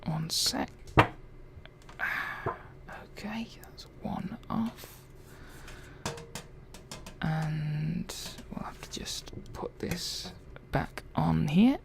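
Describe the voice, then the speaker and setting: A man playing a curious, gently chatty robot repair technician in a soft-spoken ASMR roleplay, murmuring and thinking aloud in an unhurried, hesitant way. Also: fairly high pitch, vocal fry, exaggerated voice. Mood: gently absorbed. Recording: faint background noise.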